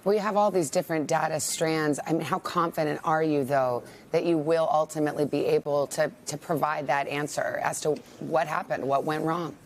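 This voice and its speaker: An American woman works as a news anchor, with a notably low voice for a woman. She speaks in a polished, serious broadcast manner and probes as an interviewer. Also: steady tone, normal speed, hardly any pauses, a little hiss or hum.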